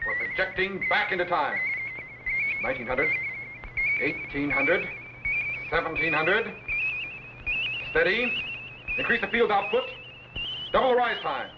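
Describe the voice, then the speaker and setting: An actor playing a commanding, scholarly time-machine captain in a crisp, authoritative 1950s science fiction serial style. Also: male voice, high-pitched, very noisy. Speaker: captain and mentor. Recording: dull vintage broadcast with loud music.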